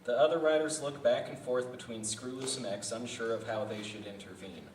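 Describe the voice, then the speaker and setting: A man's voice: mid-range voice, steady tone, fairly quick. A man reading scripted narration and stage directions as the narrator of a table read. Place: indoor festival venue. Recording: distant echoey room with background noise.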